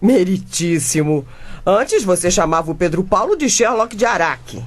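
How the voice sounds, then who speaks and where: A woman's voice: lowish voice, lively intonation, normal speed. A woman playing an exasperated, defensive modern wife and mother in a comic radio drama, with theatrical exclamations.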